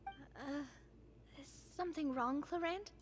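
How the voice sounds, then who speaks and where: A woman's voice: high voice, takes it slowly, a little hiss or hum. A woman voicing a curious, eager and polite young female adventurer in a video game.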